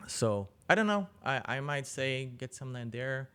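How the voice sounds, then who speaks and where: A man, answering interview questions casually as a journalist, often pausing mid-sentence. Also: mid-range voice, big pitch swings, fairly bright tone, clean tone. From Germany. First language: German. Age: 40s. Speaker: interview guest, business journalist.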